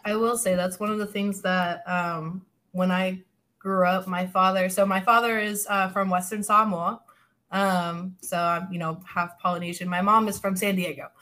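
A woman in conversation, telling family stories in a relaxed, laughing way and sometimes quoting others with lively voices. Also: fairly low pitch, normal speed. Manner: laughing, animated storytelling with quoted voices. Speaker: guest. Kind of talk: casual podcast chat.

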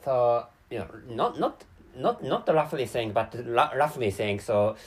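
Man, Japanese accent, native Japanese speaker, podcast host, stammered repetitions, rambling monologue, very lively intonation. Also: medium pitch, slightly rough. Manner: very halting, stammering repetition of words and syllables; rambling and digressive.

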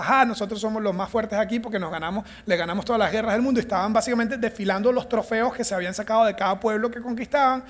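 A man with a Venezuelan accent teaches a Bible class in a playful, animated way. His voice sits fairly high, and he slips into acted-out lines for the figures in his story. He jokes with his listeners as he goes. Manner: joking, animated storytelling, acting out characters. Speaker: church teacher. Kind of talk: lively Bible class talk.

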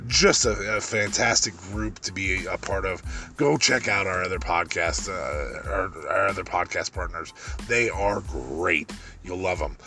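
A man with a deep voice hosting a solo podcast, rambling through a wrestling review with many ums and restarts. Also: bright, forceful tone. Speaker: podcast host.

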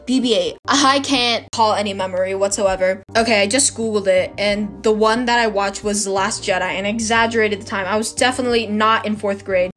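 A woman's voice: fairly high pitch, fairly bright tone. A teenage girl hosting her own podcast, talking in a chatty, dramatic, self-mocking way into her phone, her voice a little worn. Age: teens. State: slightly losing her voice. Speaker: podcast host. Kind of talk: casual solo podcast chat.

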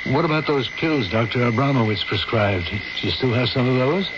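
A man's voice: medium pitch, expressive, normal speed, lightly acted. An actor playing an anxious, reserved but insistent middle-aged father in an old-time radio drama, formal and sometimes stammering. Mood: worried, tender. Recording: muffled, very noisy radio broadcast recording.

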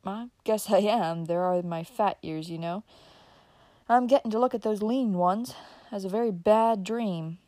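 A woman reading an audiobook gives a young male printer a calm, modest, plain-spoken voice close to her own. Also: medium pitch, unhurried, no echo, close to an everyday voice. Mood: calm explaining.

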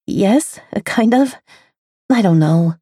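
Woman voicing a shy, hesitant young heroine in her twenties, soft, uncertain, mellow, dry storybook audiobook. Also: medium pitch, expressive, takes it slowly, slightly rough, dry recording, pitched above the performer's own voice.

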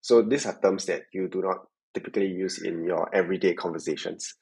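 A young man with a Singaporean accent answers as a podcast guest. His voice is fairly low and noticeably husky, and he talks reflectively about his own experience. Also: fairly quick, darkish tone. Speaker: podcast guest, tech sales worker. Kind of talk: reflective interview conversation.

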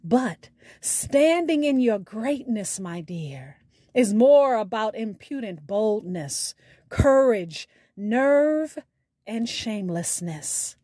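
Slow, higher-pitched woman podcast host. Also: big pitch swings, mellow, no room sound, studio quality. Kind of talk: scripted podcast outro with spoken poetry. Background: music.

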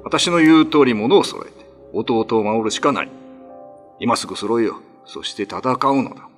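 A man acting a renowned, dignified Ryukyuan lord and demon slayer in a radio drama, speaking gravely in old-fashioned, commanding language. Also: medium pitch, animated, normal speed, faint music behind, natural voice. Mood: urgent, commanding.

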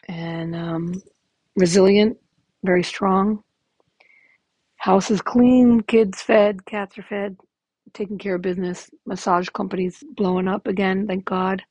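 A woman in her fifties with a raspy, gravelly, hoarse voice, talking candidly and rambling with lots of ums in a casual podcast update. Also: medium pitch, a little mellow, no echo, rough recording. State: hoarse from illness. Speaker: podcast host.

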